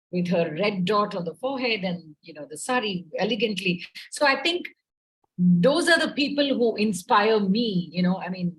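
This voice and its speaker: A woman's voice: mid-range voice, poor audio. A woman speaking thoughtfully as a panelist on a talk show, with many fillers.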